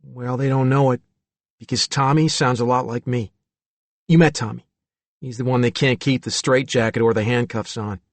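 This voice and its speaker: A friendly, talkative young man, as a male audiobook reader puts him on, speaks in an easygoing, casual way. His delivery is relaxed and conversational. The recording is dry and close. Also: mid-range voice, normal speed, dry recording, lightly acted. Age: young adult.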